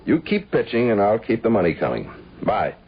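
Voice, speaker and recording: A man's voice: lowish voice, wildly swooping pitch, brisk. An actor playing a blunt man who takes charge, in a radio mystery drama. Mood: commanding. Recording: dull, slightly noisy old radio broadcast.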